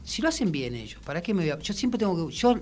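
Man, slightly high voice, Rioplatense Argentine accent, halting reflective delivery, colloquial interview guest. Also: big pitch swings, darkish tone, a little hiss or hum. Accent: Rioplatense Argentine Spanish. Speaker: musician and songwriter guest. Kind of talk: casual radio interview.

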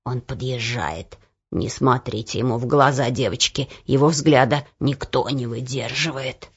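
A woman voicing a stooped, wrinkled old male laborer who speaks quietly and wearily. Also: a bass voice, slow, creaky, slightly rough, pitched below the performer's own voice, exaggerated voice. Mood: hushed. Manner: quiet, weary, hushed warnings. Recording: dull lo-fi recording.

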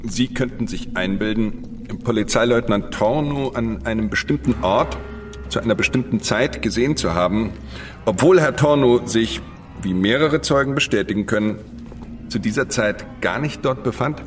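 An actor playing a cold, formal, threatening interrogator in a German radio drama, heard at some distance from the microphone. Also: male voice, medium pitch, moderate pace, music in the background, close to an everyday voice. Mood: formal menace.

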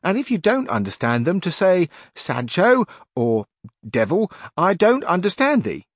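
A man's voice: sits high, wildly swooping pitch, measured pace, dry recording, clean studio sound, pitched above the performer's own voice, exaggerated voice. A man voicing a simple, stubborn peasant squire who talks in an earnest, homespun, insistent way.

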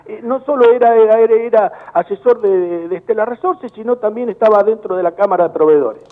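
Indignant Argentine man on a tinny phone line. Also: sits high. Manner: indignant, repeats words in rapid bursts. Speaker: business chamber director on a call. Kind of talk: heated phone-in interview. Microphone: telephone.

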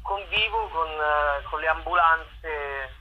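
A man's voice: on the high side, slow, phone-quality sound, slight background noise. A man explaining his work in a radio interview, often repeating the openings of his phrases. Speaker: actor and playwright being interviewed. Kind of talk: conversational interview, explaining own show.

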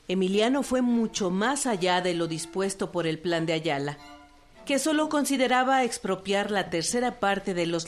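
A woman narrating a historical radio program with a measured, scripted delivery. Her voice sits at an ordinary pitch, and faint music plays underneath.

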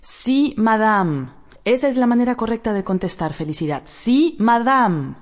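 An actress playing a dreamy, discontented young wife, genteel and theatrical, in a radio drama. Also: female voice, a little high, expressive, measured pace. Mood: haughty.

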